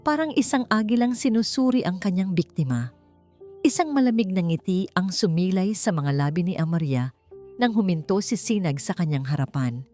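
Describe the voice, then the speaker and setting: A low-pitched woman narrates a dramatic story from a script. Music plays clearly behind her voice as she reads. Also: sing-song, normal speed, warm tone. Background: background music.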